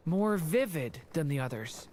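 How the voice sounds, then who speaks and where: A man voicing a gentle, earnest young dragon in a video game, with some noise around the voice. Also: fairly high pitch, lively intonation, measured pace. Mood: earnest, thoughtful. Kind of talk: video game character acting.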